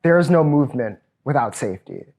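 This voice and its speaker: A man's voice: medium pitch, lively intonation, a little mellow. Earnest man in his thirties, from Trinidad. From Trinidad and Tobago. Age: 30s. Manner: reflective, earnest, measured. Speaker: programs director. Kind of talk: personal testimony at a virtual event.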